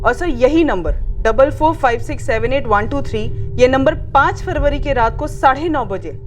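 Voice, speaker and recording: A woman's voice: high voice, expressive, moderate pace, faint music behind. An actress playing a methodical policewoman in a TV crime drama, formally reporting findings to her superior. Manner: formal, deferential, factual. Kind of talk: Hindi police procedural drama acting.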